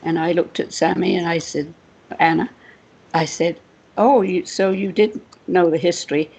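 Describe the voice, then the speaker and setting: A woman's voice: lowish voice, normal speed, darkish tone, slightly rough, rough recording. A woman from Wales with a British accent, a guest telling a personal story, chuckling often and acting out quoted voices. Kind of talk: anecdotal storytelling.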